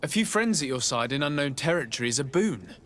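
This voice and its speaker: A man's voice: a little high, expressive, fairly bright tone. Courteous, formal young nobleman. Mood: friendly. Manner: polite, formal, measured. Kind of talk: video game character acting.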